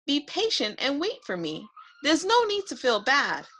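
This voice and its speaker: A woman voicing a gentle, patient little boy from a children's storybook, speaking earnestly and soothingly. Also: wildly swooping pitch, a little slow, exaggerated voice. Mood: patient, reassuring. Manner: high-pitched, earnest, soothing.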